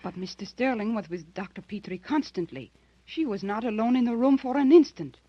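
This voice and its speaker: A fairly high, husky-voiced nursing sister, dutiful, deferential and easily frightened. She is in a vintage radio thriller with dull, muffled sound. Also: expressive, brisk, dry recording. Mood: reassuring. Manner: deferential, breathlessly dramatic. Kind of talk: 1930s radio drama acting.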